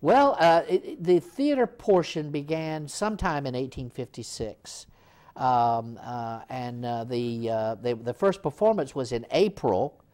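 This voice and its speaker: A man's voice: mid-range voice, unhurried. An older man in his sixties, a theater executive director, telling the hall's history in a TV studio interview with lots of fillers and restarts. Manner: animated storyteller, frequent restarts and fillers. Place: small TV studio set, seated in armchair.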